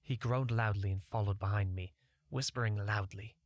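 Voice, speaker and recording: A man's voice: lowish voice, steady tone, moderate pace, no room sound, studio quality. A man narrating a scripted first-person fantasy short story as an audiobook reader.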